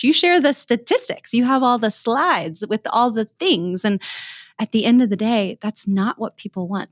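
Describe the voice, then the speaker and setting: A woman's voice: medium pitch, no room sound, clean studio sound. A woman hosting a podcast interview, speaking candidly and punching key words for emphasis. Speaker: podcast host. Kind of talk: conversational interview.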